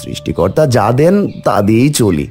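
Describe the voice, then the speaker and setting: A man's voice: on the low side, sing-song, normal speed, darkish tone, faint music behind. A man voicing a poor, honest, devout village farmer in a cartoon, speaking earnestly and emphatically. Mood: guarded. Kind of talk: cartoon voice acting.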